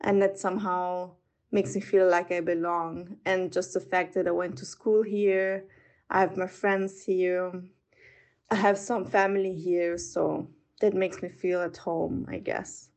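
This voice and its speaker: A young woman from Austria shares personal reflections as a podcast guest. She talks slowly and carefully, weighing her words. Her voice sits a little low. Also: flat delivery, a little mellow. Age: 20s. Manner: thoughtful, measured, searching for words.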